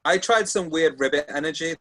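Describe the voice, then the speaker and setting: A man's voice: a little high, brisk, fairly bright tone. A man chatting casually, an actor talking after a table read. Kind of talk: casual chat.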